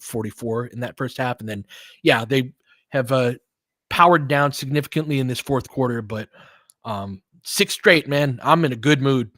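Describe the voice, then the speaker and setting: A young man hosting a casual live game stream, giving play-by-play and chatting with viewers by name in a relaxed, conversational way. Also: mid-range voice, no echo. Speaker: host of a live game watch-along stream.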